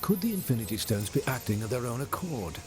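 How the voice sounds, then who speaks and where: A calm, dry-witted android from a video game, played by a man. He speaks in a mid-pitched voice with formal, exact wording and a deadpan air. Music is clearly heard behind him. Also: fast talker, close to an everyday voice. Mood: concerned. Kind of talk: video game cutscene acting.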